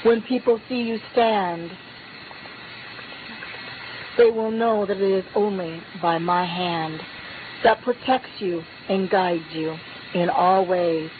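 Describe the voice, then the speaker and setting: A woman's voice: fairly low pitch, slow. A woman delivering a solemn prophetic message in the first person, speaking in a declamatory way with repeated refrains. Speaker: prophetic minister. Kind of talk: prophetic utterance spoken in first person as God. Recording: tinny phone-like line, faint noise.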